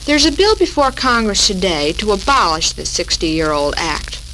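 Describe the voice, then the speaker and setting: An American woman presents a 1940s radio talk for housewives in a mid-range voice. Her reading is measured and formal, like a prepared script. The old broadcast recording crackles with clear noise throughout. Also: medium pitch, very animated, pushed and bright. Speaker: radio show host.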